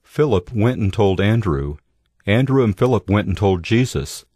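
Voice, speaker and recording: A man narrating a Bible audio drama in a measured, solemn storytelling style. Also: lowish voice, expressive, darkish tone, no room sound, studio quality. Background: music.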